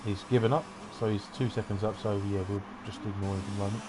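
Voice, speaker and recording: A man commentates a sim racing qualifying session in a relaxed, meandering way, with self-deprecating asides. His voice sits on the low side. A clear hum of background noise runs under the recording. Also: fast. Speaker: race commentator.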